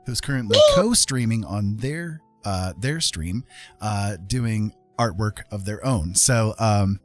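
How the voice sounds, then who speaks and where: A man's voice: fairly low pitch, sing-song, takes it slowly, faint music behind. A man hosting a casual livestream, chatting in a friendly, rambling way as he paints. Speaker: live art streamer and host.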